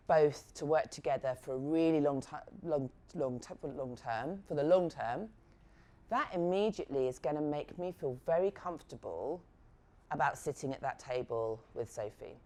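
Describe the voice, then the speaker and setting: A middle-aged woman giving a frank, lively workshop talk on negotiation, acting out short example conversations as she goes. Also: low voice. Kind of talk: live workshop talk to assistants.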